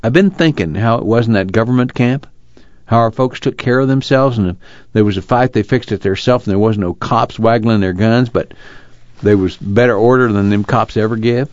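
A man's voice: lowish voice, fairly quick, a little mellow, no room sound, dull audio, close to an everyday voice. A man playing an earnest young fugitive farm son in a dramatized novel reading, reflective and plainspoken, with a drawl.

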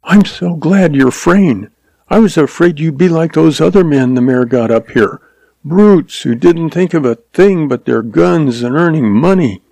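Man putting on a higher-pitched, pleading young schoolteacher woman. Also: lively intonation, unhurried, gravelly, a little mellow, no room sound, full character voice. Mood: anxious.

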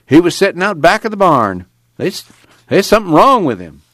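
A man voicing a concerned young farm son with hurried, folksy rural speech. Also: high voice, very animated, fairly bright tone, dry recording, voice pushed up.

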